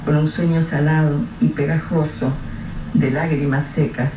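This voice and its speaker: A man giving measured, literary narration for a radio drama. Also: on the high side, level tone. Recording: distant, roomy, dull, noisy radio-play recording.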